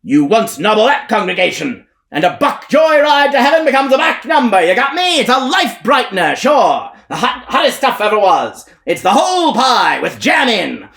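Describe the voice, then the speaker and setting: A harsh, brash male preacher, bellowing high and hard like a fairground barker. His voice leaps wildly up and down as he hawks salvation in a storybook reading. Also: sing-song, normal speed, vocal fry, few pauses, full character voice. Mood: ecstatic. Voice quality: harsh, hollering. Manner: shouted sales-pitch preaching.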